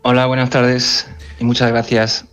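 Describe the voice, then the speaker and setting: A man's voice: mid-range voice, completely flat delivery, a little slow, a bit bright, smooth, faint music behind. A man from France, an editor being interviewed, speaking earnestly with many restarts. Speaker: editor-in-chief of a football magazine. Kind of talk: phone interview on radio.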